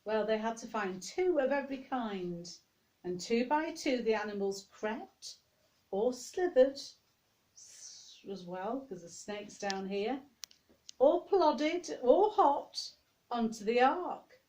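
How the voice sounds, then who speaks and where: Slow, higher-voiced woman telling a children's story. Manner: expressive, addressing young listeners directly. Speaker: minister reading a story to young children. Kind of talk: children's picture-book storytelling with chatty asides.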